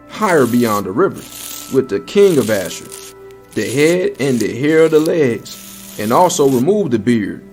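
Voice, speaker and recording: A man narrating a dramatized Bible reading in a solemn, declamatory and emphatic manner. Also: medium pitch. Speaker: scripture narrator. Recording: echoing voice over background music. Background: background music.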